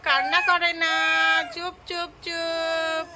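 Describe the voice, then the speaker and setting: A woman's voice: very high-pitched, completely flat delivery, slow, pushed and bright, a little hiss or hum, heavily acted. A woman playing a kind village mother who soothes a hurt toddler with repeated words. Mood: fretting, soothing. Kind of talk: home family skit.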